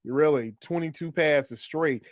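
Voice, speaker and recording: A man with a slightly high-pitched voice talks casually as a sports podcast co-host. He explains his thinking step by step and leans on repetition to make a point. The sound is very dry, with no room to it. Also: measured pace, no room sound. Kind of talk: casual fantasy football chat.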